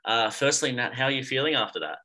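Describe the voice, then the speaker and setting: A man's voice: mid-range voice, lively intonation, quick pace, bright, forceful tone, low-quality recording. A young man talking on a podcast, earnest, his sentences tumbling over each other. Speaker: podcast guest, sports fan. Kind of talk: casual chat.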